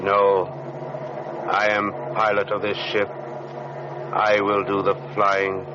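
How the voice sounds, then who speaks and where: An actor playing a cold, taciturn airline pilot in an old radio drama, terse and ominous. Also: male voice, fairly low pitch. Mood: ominous, flat. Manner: slow, terse, monotone. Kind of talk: 1940s radio drama acting. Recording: dull, noisy old radio recording, engine drone.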